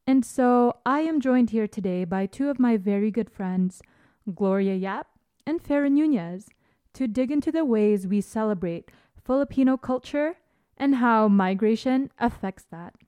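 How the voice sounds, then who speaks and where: A woman's voice: on the high side, warm tone, clear, resonant tone. A young woman speaking as a podcast host, introducing and interviewing in an articulate, composed way.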